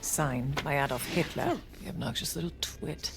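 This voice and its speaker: An actress playing a grand, worldly dame who collects antiquities and dabbles in spying in a video game, speaking in an arch, genteel, droll way. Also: female voice, low voice, moderate pace, creaky, loud music behind the voice. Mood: wistful, wry. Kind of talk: video game cutscene acting.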